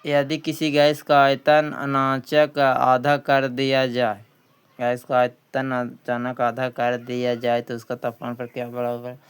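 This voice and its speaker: A man's voice: medium pitch, completely flat delivery, slow pace, clean tone. A man with a Bihari accent teaching an exam quiz lesson, reading questions aloud, often repeating them, then giving the answers.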